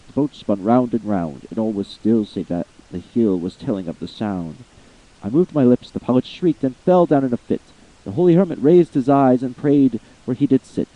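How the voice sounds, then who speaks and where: A man's voice: medium pitch, dull audio. A man giving a theatrical reading of an old narrative poem as voice-acting practice. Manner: theatrical, swooping delivery of verse. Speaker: amateur reader. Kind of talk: dramatic poetry reading.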